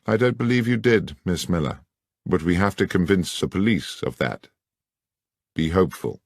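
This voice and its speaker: A man playing a shrewd gentleman detective with an English accent, formal, precise and authoritative. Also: deep voice, animated, moderate pace, dry recording, clean studio sound, exaggerated voice. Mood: probing. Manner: crisp, precise, formal, commanding.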